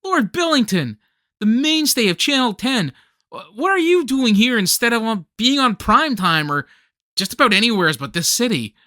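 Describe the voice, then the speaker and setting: A woman playing an earnest, starstruck fan in a radio play, with a gushing, halting delivery. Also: a little high, sing-song, sharp tone, no echo, studio quality. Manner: stammering, gushing.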